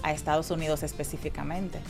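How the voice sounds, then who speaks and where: A woman, a religious sister hosting a podcast in clear presenter and interview talk. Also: sits low, steady tone, fast, music in the background. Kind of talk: scripted intro and warm interview talk.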